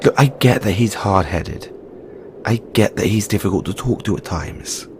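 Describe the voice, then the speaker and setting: A man's voice: lowish voice, normal speed, slight background noise, close to an everyday voice. A man playing a frank, caring Christmas elf in an audio roleplay, earnest and informal, chiding yet reassuring. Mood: coaxing. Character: frank, caring male Christmas elf, weary but supportive. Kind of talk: second-person audio roleplay monologue.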